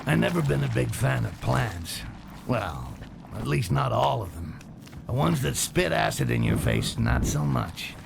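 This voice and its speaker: A man voicing a cynical, sardonic goblin thief in a video game, muttering dry wisecracks to himself in a gravelly voice. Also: mid-range voice, very animated, measured pace, heavily acted. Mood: amused, sardonic. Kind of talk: video game character monologue. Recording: clear background noise, game ambience.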